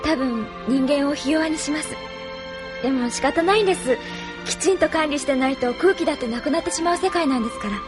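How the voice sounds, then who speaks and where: A woman's voice: high-pitched, brisk, over music, close to an everyday voice. An actress playing a polite, earnest young woman in an anime audio drama. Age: young adult.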